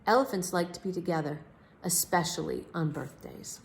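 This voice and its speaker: A woman voicing a clever, unflappable male detective in a children's storybook, speaking deliberately and emphatically. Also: fairly low pitch, vocal fry, a little hiss or hum. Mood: confident.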